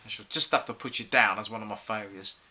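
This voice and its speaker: A man's voice: on the low side, fast talker, pushed and bright. A male actor playing a stern, exasperated head teacher in a theatrical monologue, accusing a pupil with formal, clipped questions. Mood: cold, resigned. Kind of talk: theatrical monologue for an acting showreel.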